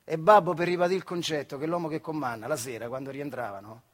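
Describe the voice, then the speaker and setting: A male actor playing a working-class factory worker telling his memories in colloquial, expressive theatrical style, with a central Italian accent from the Marche. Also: fairly high pitch, quick pace, close to an everyday voice. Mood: wry, fond. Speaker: stage actor performing a monologue. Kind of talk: theatrical dialect storytelling.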